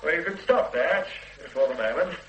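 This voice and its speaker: An actor playing a brisk, offhand senior police officer in a radio drama. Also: male voice, fairly high pitch, lively intonation, fairly bright tone, a little hiss or hum. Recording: roomy studio echo.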